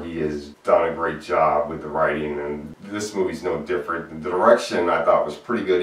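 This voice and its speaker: A man's voice: low voice, distant mic. A man giving an earnest, plain-spoken film review in a solo video. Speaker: movie reviewer. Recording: echoey room, voice off-mic.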